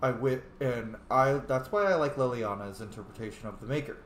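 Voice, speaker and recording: A man casually commenting on a role-playing game and reading dialogue choices aloud, with animated, jokey asides. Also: mid-range voice, a little fast. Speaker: gaming host, role-player. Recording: echoey room, faint background noise.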